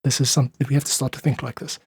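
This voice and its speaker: Husky South African man in earnest conversation. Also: mid-range voice, level tone, rapid-fire, no echo. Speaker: podcast guest, independent musician. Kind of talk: reflective interview conversation.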